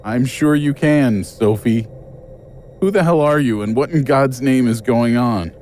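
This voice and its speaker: A man's voice: mid-range voice, a little slow, a little mellow, a little hiss or hum, natural voice. An actor playing an angry, suspicious male investigator in a horror audio drama, blunt and confrontational.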